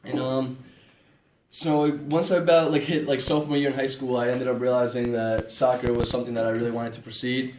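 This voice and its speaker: A man's voice: mid-range voice, little pitch movement, fairly quick. A young man from Ecuador giving a casual class presentation, rambling with lots of "like" and fillers. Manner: rambling, filler-heavy, "like" repeated. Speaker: student presenter. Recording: echoey room with background hiss.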